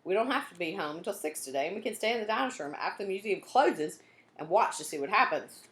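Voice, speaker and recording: A woman's voice: mid-range voice, animated, fast, fairly bright tone, roomy sound, natural voice. A woman voicing a bold, eager young girl detective in a children's storybook, speaking emphatically. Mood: determined. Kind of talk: children's storybook character dialogue.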